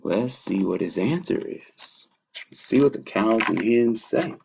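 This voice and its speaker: A man reading a children's story aloud as a storytime host, playful and deliberate in his delivery. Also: fairly low pitch, lively intonation, vocal fry, darkish tone, slightly rough. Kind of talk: expressive children's picture-book storytelling. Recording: dull lo-fi stream audio.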